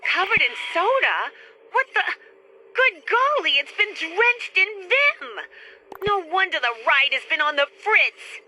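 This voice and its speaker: An earnest young engineer in a retro radio drama. The voice is high and swoops in excitable, plucky exclamations. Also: female voice, slow, a little hiss or hum, exaggerated voice, poor audio. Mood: shocked, urgent.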